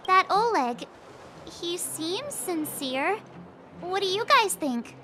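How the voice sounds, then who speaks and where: Cast an upbeat teasing young girl for a game, high and lively. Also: slow pace, faint music behind, exaggerated voice. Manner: chirpy, playful, teasing. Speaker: game character. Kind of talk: game voice acting.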